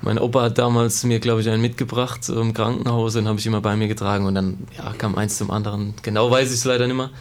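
A man's voice: on the low side, flat delivery, fast talker, few pauses. A man in his twenties, raised in Germany, chatting casually about his background as a guest footballer in an interview, with many fillers. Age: 20s.

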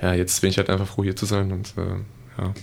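A young man speaking as a guest footballer in a casual interview, halting often with fillers. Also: low voice, monotone, creaky, a little mellow, a little hiss or hum.

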